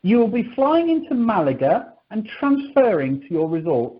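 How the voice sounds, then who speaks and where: A woman's voice: mid-range voice, big pitch swings, normal speed, natural voice. A woman playing an exasperated but polite male airline clerk in an amateur radio comedy. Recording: dull telephone conference line.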